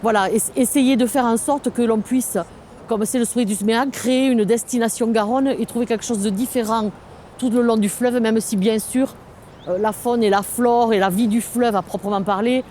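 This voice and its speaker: A woman's voice: a little high, steady tone, moderate pace, warm tone, a little hiss or hum. A woman speaking as a local government project officer in an explanatory interview, feeling her way through phrases with some repetition.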